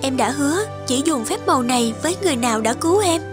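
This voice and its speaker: A woman playing a gentle, devoted young fairy wife in a cartoon folktale, speaking earnestly. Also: high-pitched, lively intonation, quick pace. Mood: calm, instructive. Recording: music behind the voice.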